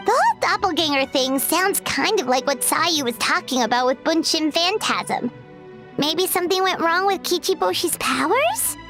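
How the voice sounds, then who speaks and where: A woman voicing a tiny, curious floating fairy girl with a squeaky, childlike voice in a video game. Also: pushed and bright, exaggerated voice. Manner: speaks of herself in third person, exclaiming. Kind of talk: animated video game dialogue.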